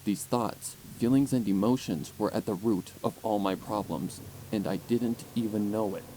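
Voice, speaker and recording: A man's voice: lowish voice, normal speed, dark tone, a little hiss or hum, low-quality recording. A man reading scripted story narration with an intense, theatrical delivery, as an audiobook narrator. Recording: music under the voice.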